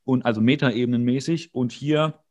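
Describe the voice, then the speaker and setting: A man's voice: mid-range voice, moderate pace, darkish tone. A man co-hosting a podcast, recapping an episode in casual chat with a jokey, rambling, wry manner. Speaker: podcast co-host.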